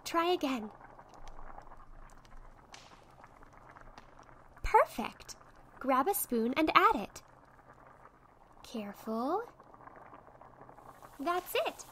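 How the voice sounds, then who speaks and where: Sweet witch friend played by a woman, ASMR roleplay. High voice, slow delivery, soothing and encouraging. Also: very animated, full character voice, rough recording. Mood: patient, instructive. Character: sweet, encouraging young witch tutoring her shy friend. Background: soft potion bubbling and fizzing effects.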